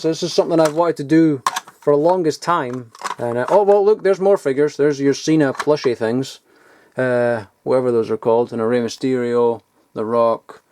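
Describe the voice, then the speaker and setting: A man's voice: medium pitch, mellow. A man rambling casually as he digs through old toys, mumbling asides and swearing now and then. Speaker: toy collector vlogger. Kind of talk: casual off-the-cuff commentary while sorting toys. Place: cluttered loft.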